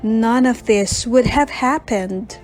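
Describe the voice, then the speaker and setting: A woman reading a storybook, voicing a gentle, wise fairy. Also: a little high, takes it slowly, faint music behind. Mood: gently chiding.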